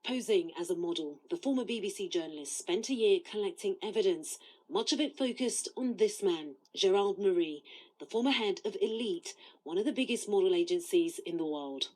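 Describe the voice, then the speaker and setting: A woman correspondent narrates a scripted news report. Her pitch is mid-range and her tone has a husky grain. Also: expressive, a little fast, a little mellow, poor audio.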